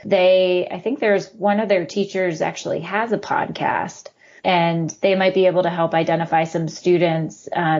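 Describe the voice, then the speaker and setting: A woman speaking as an expert guest on a podcast, measured and thinking aloud as she answers. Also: fairly low pitch, a little mellow, lo-fi sound. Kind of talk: casual interview chat.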